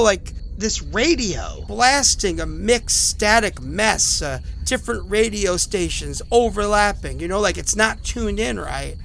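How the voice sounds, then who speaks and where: A man's voice: sits high, vocal fry, pushed and bright, a little hiss or hum, heavily acted. An actor playing a sick, rattled, apologetic man who rambles hesitantly through phone messages in a horror audio drama.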